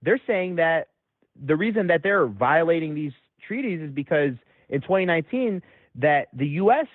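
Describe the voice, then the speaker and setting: Excitable man on a tinny phone line. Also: no room sound. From Metro Detroit, USA. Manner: excitable, voice jumps high when agitated. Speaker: caller. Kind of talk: heated call-in debate.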